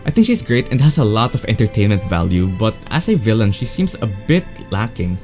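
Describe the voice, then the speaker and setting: Male game reviewer, slightly deep voice, opinionated and keen commentary, light musical bed underneath. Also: big pitch swings, fairly quick, a little mellow. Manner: enthusiastic, opinionated. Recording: voice over background music.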